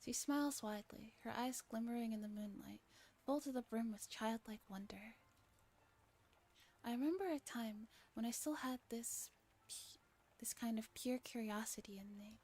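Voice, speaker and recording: A woman reading a story aloud softly as a streamer, in a breathy ASMR style that slips into whispers. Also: a little high, moderate pace. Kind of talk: soft-spoken ASMR narration with chat asides.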